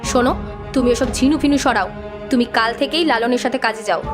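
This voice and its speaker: High-voiced nagging village wife, acted by a woman. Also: fairly quick, over music, lightly acted. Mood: demanding. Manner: nagging, firm. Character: sharp-tongued, insistent young village wife. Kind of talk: Bengali cartoon folk-tale acting.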